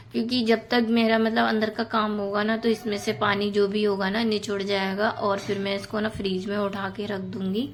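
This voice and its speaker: A woman in her thirties with a hoarse, sore throat, chatting casually to viewers about her housework in a voice-over. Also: mid-range voice, slight background noise. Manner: chatty, many fillers, addresses viewers as friends. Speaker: home vlogger.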